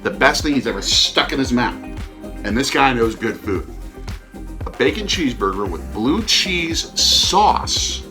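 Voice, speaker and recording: Bright-voiced man presenting over light music. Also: medium pitch, very animated, measured pace. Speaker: travel food show host, father co-hosting with his child. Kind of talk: upbeat semi-scripted vlog presenting. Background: background music.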